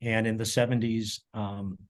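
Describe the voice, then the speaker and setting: Middle-aged man, lowish voice, chef interview guest, rambling, lots of ums and restarts. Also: little pitch movement, a little slow. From United States (Colorado). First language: German. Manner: rambling, warm storytelling with frequent ums and repeated words. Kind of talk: casual interview storytelling.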